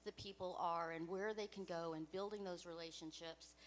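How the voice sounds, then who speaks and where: A woman's voice: lowish voice, fairly even intonation, normal speed. A woman speaking gratefully to a community gathering. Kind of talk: audience testimony.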